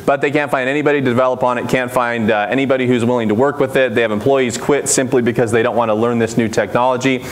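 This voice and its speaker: A man with a loud, carrying voice gives a conference presentation, quizzing the audience and explaining terms. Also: mid-range voice, fast, few pauses. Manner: energetic, engages the audience with questions. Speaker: conference speaker. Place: conference room.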